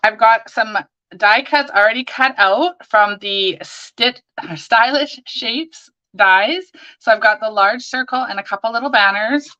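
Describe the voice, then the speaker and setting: A hoarse woman hosting a live crafting stream, chatting and greeting viewers by name as she works. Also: on the high side, measured pace, bright, forceful tone, dull audio. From Canada.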